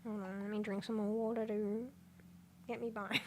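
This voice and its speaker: A congested woman chatting casually on a livestream, rambling, swearing and breaking into sudden loud exclamations as she reads things aloud. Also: medium pitch, level tone, moderate pace, noisy background. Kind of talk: casual stream chat, reading aloud.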